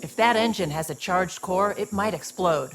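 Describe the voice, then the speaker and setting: A woman's voice: fairly low pitch, moderate pace, noisy background, close to an everyday voice. A woman voicing a tough, matter-of-fact alien mercenary and protective older sister in a video game. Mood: calculating.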